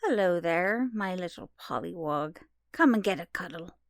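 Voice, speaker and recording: A woman playing a gentle, knowing long-lost mother in an audio drama, maternal with a touch of reproach. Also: fairly low pitch, animated, moderate pace, no echo, studio quality. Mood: affectionate.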